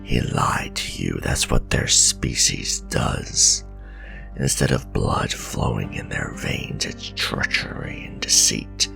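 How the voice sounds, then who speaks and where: Gravelly, extremely deep old werewolf hunter. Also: male voice, full character voice. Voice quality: very deep, gravelly, breathy growl. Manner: grim, scornful, slow and deliberate warnings. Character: gruff centuries-old male werewolf vampire hunter, bitter and distrustful. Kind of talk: dramatic ASMR fantasy roleplay. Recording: voice over clear background music. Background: music.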